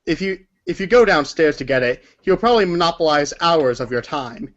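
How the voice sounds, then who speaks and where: A man's voice: fairly high pitch, expressive, brisk, highs cut off. A man narrating a story aloud as if from a storybook, sometimes stumbling over words and correcting himself. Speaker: narrator of a group readers' theater.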